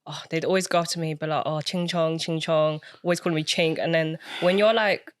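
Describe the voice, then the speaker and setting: Soft-spoken young woman telling stories. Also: low voice, fairly even intonation, brisk, no room sound, studio quality. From Britain. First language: Cantonese. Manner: soft-spoken, reflective storytelling with many fillers. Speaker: guest. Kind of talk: casual podcast chat.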